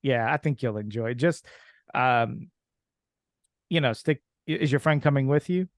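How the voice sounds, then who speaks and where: A man from Ukraine hosting an interview podcast, asking questions in a halting way with many restarts. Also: medium pitch, no echo, clean studio sound. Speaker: podcast host. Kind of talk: casual interview.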